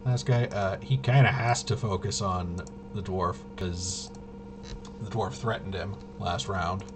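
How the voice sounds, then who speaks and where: A man's voice: low voice, fairly even intonation, a little slow, over music. A man giving casual play-by-play of a tabletop roleplaying game, muttering and thinking aloud as he goes. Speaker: solo tabletop game player and host.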